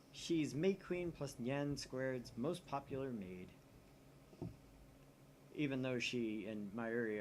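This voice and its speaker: A man's voice: medium pitch, a little hiss or hum, poor audio. A man co-hosting a game stream, reading visual novel narration aloud with joking asides. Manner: jokey, reads narration with dry asides.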